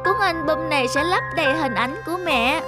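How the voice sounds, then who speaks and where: A woman plays a wistful little schoolgirl in a cartoon. The voice is very high, shy and politely hesitant. Loud music plays underneath. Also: a little fast, close to an everyday voice. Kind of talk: cartoon voice acting.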